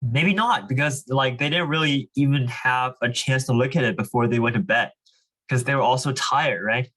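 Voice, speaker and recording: A man's voice: mid-range voice, moderate pace, fairly bright tone. A young man casually explaining and reading aloud to children as a reading club leader, with an informal way of talking peppered with "like" and "you know".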